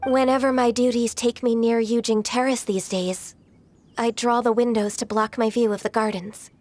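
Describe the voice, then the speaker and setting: Woman playing a high-voiced, gentle immortal secretary. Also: fairly even intonation, normal speed, natural voice. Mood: wistful, solemn. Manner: polite, soft-spoken, hesitant, formal. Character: gentle, earnest, ancient half-qilin female adeptus secretary. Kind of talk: video game cutscene dialogue. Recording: music under the voice. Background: background music.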